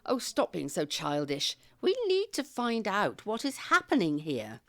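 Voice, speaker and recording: Bossy, animated older ringleader. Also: female voice, medium pitch, measured pace, dry recording, full character voice. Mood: determined. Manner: decisive, impatient, commanding. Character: bossy, capable retired woman, sharp-witted care-home ringleader. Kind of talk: audio comedy drama acting.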